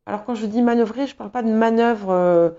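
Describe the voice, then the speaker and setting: A woman's voice: on the high side, brisk, dark tone, dull audio. A woman whose first language is English, giving a tarot reading in a hesitant way, with many fillers and restarts. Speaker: tarot reader. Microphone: small clip-on mic.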